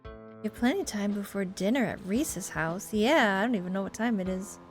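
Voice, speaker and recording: A woman gives chatty, expressive commentary on a game playthrough and reads its text aloud in a casual way. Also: mid-range voice, brisk, faint music behind. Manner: chatty, expressive reactions and asides. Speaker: video game streamer.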